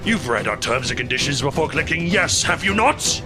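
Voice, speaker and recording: A man's voice: on the high side, animated, moderate pace, close to an everyday voice. A man voicing a pompous dinosaur warrior king in a farcical, overly dramatic audio play. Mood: stern. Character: bombastic, self-righteous armored dinosaur warrior king. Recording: dramatic music behind the voice.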